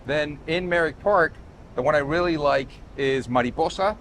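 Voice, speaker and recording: A man's voice: on the high side, normal speed, fairly bright tone. A man from Chile giving a casual, emphatic property tour as the developer, often repeating and restarting his phrases. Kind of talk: casual walk-through sales pitch. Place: walking outdoors on a city street and at a building site. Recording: outdoor street noise with traffic.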